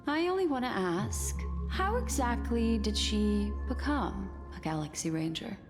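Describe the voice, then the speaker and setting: An actress voicing a poised, mysterious keeper of memories in a video game, composed and deliberate. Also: female voice, mid-range voice, expressive, lightly acted. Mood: composed, probing. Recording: long reverb over background music.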